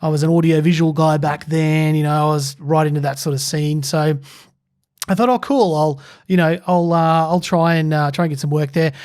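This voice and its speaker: A man co-hosting a podcast, his voice sitting a bit higher than most men's. He tells stories in a loose, rambling way, circling back with fillers and restarts. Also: steady tone, normal speed, darkish tone. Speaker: podcast co-host. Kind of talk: casual storytelling chat.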